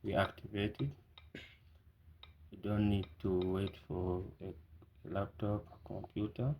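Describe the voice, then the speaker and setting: A man presenting a casual hands-on unboxing and setup tutorial, speaking haltingly in short phrases and murmuring as he works. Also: lowish voice, level tone, dark tone. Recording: clear background noise with clicking.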